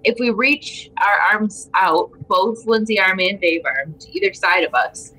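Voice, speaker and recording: Bright, husky-edged woman, casual game player. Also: medium pitch, animated, unhurried, poor audio. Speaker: guest player in a story game. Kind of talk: casual chat while playing a game.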